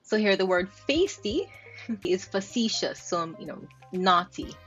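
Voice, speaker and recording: A woman's voice: mid-range voice, animated, measured pace, fairly bright tone, husky, lo-fi sound, soft background music. A Jamaican woman linguist explaining pronunciation. She repeats words aloud to show how they sound and laughs lightly. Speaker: linguist host. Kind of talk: explaining pronunciation while reacting to clips.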